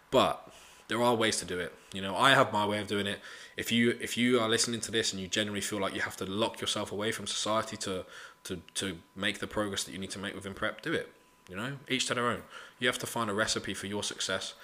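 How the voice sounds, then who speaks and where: A man's voice: fairly low pitch, bright, forceful tone. A man, hosting a casual solo podcast, blunt and motivational, swearing freely and leaning on "you know". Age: 20s. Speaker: podcast host.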